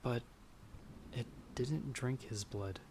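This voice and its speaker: A man's voice: medium pitch, slow pace, a little mellow, a little hiss or hum. A man playing a timid, polite young farmer in an ASMR fantasy roleplay, speaking hesitantly and a little formally. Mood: uneasy storytelling. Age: young adult. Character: timid, polite young male farmer traveler, easily frightened. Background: stormy night ambience.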